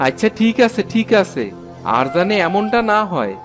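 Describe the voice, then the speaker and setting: A man's voice: high-pitched, big pitch swings, normal speed, music in the background. A man voicing a demanding village farmer in a cartoon, with a rural eastern Bengali accent. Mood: annoyed. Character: demanding village farmer, grown son and husband. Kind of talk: cartoon character acting.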